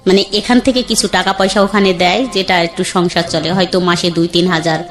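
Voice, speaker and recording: A woman in her thirties with a colloquial Bangladeshi accent tells her own life story in a plain, conversational way. She slips into other people's words as she recalls them. Her voice sits a little low, and faint music plays behind her. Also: fairly even intonation, fairly quick. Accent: Bangladeshi colloquial Bengali. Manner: plain conversational, acts out quoted dialogue. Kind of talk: candid storytelling.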